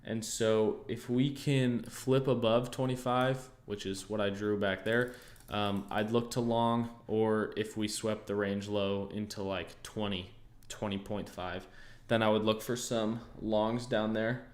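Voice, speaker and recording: A young American man hosting a casual livestream, easygoing and thinking aloud, often pausing on fillers and restarting his sentences. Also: on the low side, little pitch movement. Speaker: trading livestream host. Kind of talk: casual livestream chat answering viewers.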